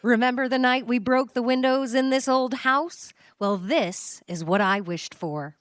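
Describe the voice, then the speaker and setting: An actress in a radio play voicing a devoted, playful young bride. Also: female voice, fairly high pitch, a little slow, no room sound, studio quality, natural voice. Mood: soft, loving. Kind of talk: old-time radio play acting.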